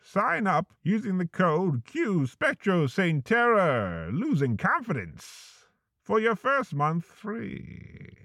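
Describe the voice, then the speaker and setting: A man narrating in a dramatic, spooky storyteller manner, a deadpan horror parody. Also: takes it slowly. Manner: dramatic, spooky storyteller delivery with swooping pitch. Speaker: narrator and host.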